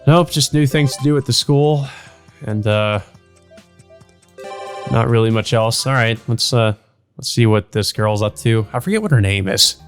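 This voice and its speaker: A man's voice: mid-range voice, moderate pace, long pauses, faint music behind. A man reading a visual novel aloud as a let's play host, casually and unpolished, dropping in his own asides.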